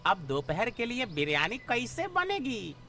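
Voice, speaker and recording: Slow-talking, cheeky, whiny servant. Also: male voice, very high-pitched, huge pitch swings, sharp tone, heavily acted. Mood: whiny excuses. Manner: whining, wheedling, cheeky. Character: whiny, cheeky, work-shy comic clerk servant. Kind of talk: exaggerated comic cartoon acting.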